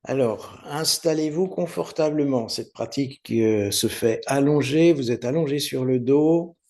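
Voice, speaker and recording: A man teaching guided meditation, giving unhurried, soothing step-by-step instructions with pauses. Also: mid-range voice, a little mellow. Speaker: yoga nidra meditation teacher.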